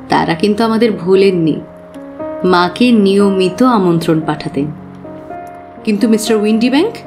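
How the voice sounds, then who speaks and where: An actress playing an earnest young working woman who confides expressively and with pauses in an audio drama. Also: mid-range voice, a little mellow. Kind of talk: dramatised audio story acting.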